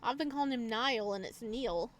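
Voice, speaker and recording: A woman's voice: fairly high pitch, moderate pace. A woman hosting a gaming video, reading visual novel narration aloud. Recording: some background noise under voice.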